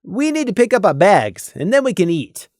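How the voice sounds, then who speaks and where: Stern, dutiful winged stallion guard, played by a male narrator, clipped and commanding, animated pitch, fantasy story. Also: moderate pace, no echo, studio quality. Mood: brisk.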